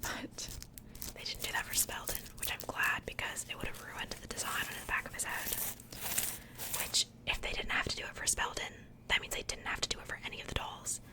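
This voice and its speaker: A woman whispering softly and breathily in a casual, gentle ASMR style, chatting conversationally close to the microphone. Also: a little fast, rough recording. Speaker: host of an ASMR doll unboxing channel.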